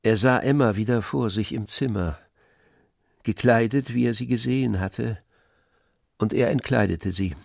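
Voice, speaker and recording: A man narrating a literary radio drama in a measured, precise storytelling manner. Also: medium pitch, creaky, a little mellow, no room sound, studio quality. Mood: composed. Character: composed male literary narrator of a novel. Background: faint music with strings.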